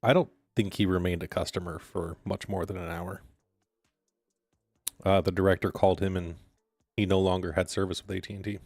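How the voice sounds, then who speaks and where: A very low, deep male voice talks bluntly on a podcast, swearing freely as he tells a story from an old job. He sounds dry and close, and faint music plays underneath. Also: fairly even intonation, fairly quick, pauses often, darkish tone, no echo. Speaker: co-host. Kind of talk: casual podcast chat.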